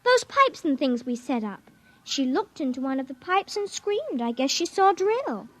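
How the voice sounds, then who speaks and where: A woman's voice: high voice, sing-song, normal speed, fairly bright tone, dry recording. A woman playing a secretive, defiant little girl in a 1950s radio drama, childlike and matter-of-fact. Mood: defiant, evasive.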